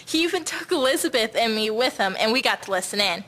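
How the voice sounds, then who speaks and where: Female actor as bright, high-voiced earnest schoolgirl. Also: expressive, quick pace. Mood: earnest, proud. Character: proud, earnest 1950s schoolgirl, a councillor's daughter. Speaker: actor in an amateur history drama. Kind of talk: scripted amateur audio drama.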